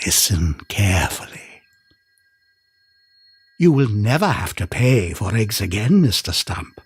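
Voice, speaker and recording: An actor playing a theatrical stage hypnotist in an audio drama, with a grandiose, commanding manner. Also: male voice, fairly low pitch, big pitch swings, unhurried, slightly rough, no echo, exaggerated voice. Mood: hushed, hypnotic.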